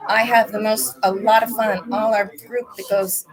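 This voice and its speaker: A woman guest chatting casually in a rambling, halting way, her voice a bit hoarse. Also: mid-range voice, flat delivery, low-quality recording. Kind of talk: casual chat.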